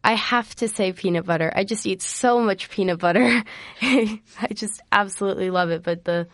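A woman's voice: mid-range voice, normal speed, no room sound, clean studio sound. A young woman from Iowa, a guest on a radio show, speaking earnestly with a lot of hesitant fillers. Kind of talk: radio interview. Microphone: studio microphone.

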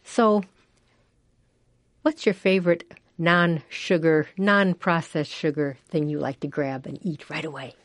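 An older woman from Iowa hosting community radio, chatty and folksy, swooping up in pitch when she exclaims. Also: steady tone, a little slow, dry recording. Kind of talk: casual radio chat. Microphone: studio microphone.

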